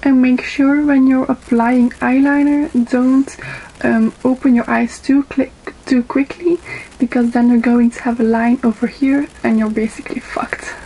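A young woman in her twenties with a Dutch accent, whose first language is Dutch, giving a makeup tutorial into a webcam in a small bedroom, pausing often with ums as she works. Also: high-pitched, level tone, mellow, a little hiss or hum, rough recording. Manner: unhurried, with frequent ums and pauses while applying makeup. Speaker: beauty vlogger. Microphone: webcam in front of her.

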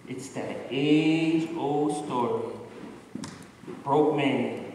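A man's voice: mid-range voice, extremely slow, darkish tone. A man acting a teasing, skeptical, streetwise friend in a live stage play. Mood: mocking. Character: teasing, skeptical male buddy, mocking and streetwise. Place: large gymnasium with a stage, indoors. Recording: echoey gym stage, distant, some noise.

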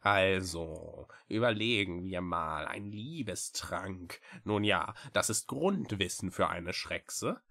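Weary female witch for an audiobook: very low, drawling, sardonic, reluctant. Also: expressive, fairly bright tone, exaggerated voice. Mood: grudging, pondering. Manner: slow, drawling, grudging, sighing. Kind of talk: audiobook character voice.

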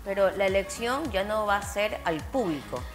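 A woman's voice: medium pitch, animated, moderate pace. Woman studio co-host, talkative and opinionated, music under her voice. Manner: opinionated, conversational. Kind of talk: studio news chat. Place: TV studio, indoors.

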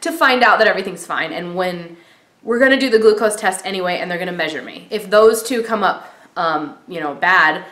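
A woman's voice: fairly low pitch, a little fast, fairly bright tone. Woman, 30s, vlogger talking to camera in a small echoey room, nasal from a cold, chatty and rambling. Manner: chatty, many ums and likes. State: stuffy from a cold, clearing throat. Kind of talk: casual pregnancy update vlog. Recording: roomy echo.

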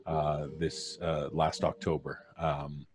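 A middle-aged man hosting a casual live stream, jovial and halting as he makes introductions. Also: a bass voice, monotone. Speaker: podcast host. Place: home studio, video call. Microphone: studio mic on boom arm.

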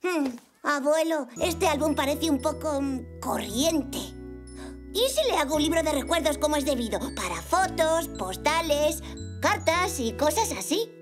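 A woman voicing a curious, eager five-year-old zebra boy in a cartoon dub, expressive. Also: sits high, unhurried, over music. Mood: helpful, curious. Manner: expressive, exclamatory. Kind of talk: Spanish children's cartoon dubbing.

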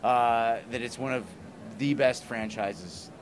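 A man's voice: mid-range voice, steady tone, takes it slowly. A middle-aged man talking enthusiastically and emphatically in a casual interview, rambling a little as he goes. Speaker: comic writer, podcaster and interview guest. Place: small plywood booth at a convention. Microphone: handheld microphone held by interviewer. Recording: clear background noise at convention.

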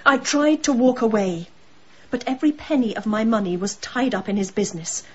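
A woman's voice: on the high side, fairly quick, muffled recording, a little hiss or hum, close to an everyday voice. A woman playing a bitter, sardonic murderess in a radio drama, mocking and scornful. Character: bitter, sardonic murderous wife holding people at gunpoint.

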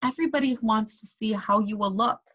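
A woman's voice: fairly high pitch, brisk, a bit bright, breathy edge, muffled recording. Woman voicing an earnest, friendly frog. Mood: apologetic, pleading. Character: friendly, helpful male frog, loyal and polite.

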